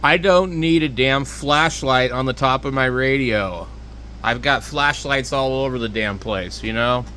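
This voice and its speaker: A man giving an unscripted, casual gear review in a rambling, halting, plainspoken way. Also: mid-range voice, sharp tone, a little hiss or hum. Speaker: hobbyist product reviewer.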